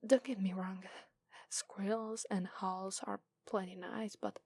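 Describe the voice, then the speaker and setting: A tender female fox spirit, voiced by a woman with an Italian accent, whispering close to the microphone in an ASMR roleplay. Her voice is airy and breathy with a gravelly fry, and it is a little higher than usual. The sound is dry and close. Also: flat delivery, takes it slowly, no room sound. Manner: soft whispering.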